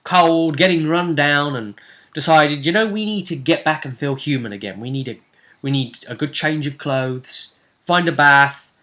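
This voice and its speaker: A man's voice: a little high, normal speed, pushed and bright. A man speaking casually and jokingly as a host and game master, recapping a tabletop role-play session with his co-host. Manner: jokey, bantering with co-host.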